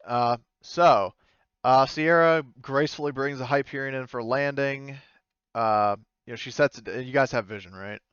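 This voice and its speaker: A man's voice: mid-range voice, fairly bright tone, clear, resonant tone, no room sound, highs cut off. A man speaking casually as game master of an online tabletop game, hesitant and often correcting himself as he narrates. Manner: hesitant, halting, self-correcting. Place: online voice call.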